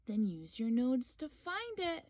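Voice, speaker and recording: Storybook granny bear played by a woman: patient, kindly, encouraging, slow delivery, dry sound. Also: fairly high pitch, wildly swooping pitch, no echo. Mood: patient, teaching. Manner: gentle, encouraging, unhurried. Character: kindly, patient grandmother bear who teaches gently. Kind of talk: children's storybook read-aloud.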